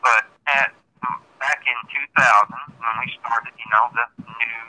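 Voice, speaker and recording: A chatty man in his forties calls in over a phone line. His voice has a very bright, sharp edge, and he sounds eager and friendly as he talks with the host. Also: medium pitch, steady tone, measured pace. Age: 40s. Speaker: caller. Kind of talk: casual phone call-in chat.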